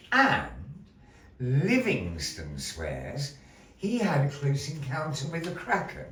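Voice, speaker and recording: Low-voiced male storyteller, slow and theatrical with wild pitch swings; recorded from afar in a small echoey gallery, faint hiss. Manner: dramatic, drawn-out, playful asides. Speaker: puppet show storyteller. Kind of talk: theatrical storytelling to a live audience. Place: small gallery room with audience. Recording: roomy echo, distant mic, faint noise.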